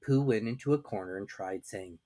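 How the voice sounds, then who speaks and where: Low-pitched woman narrating a storybook expressively. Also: brisk, dark tone.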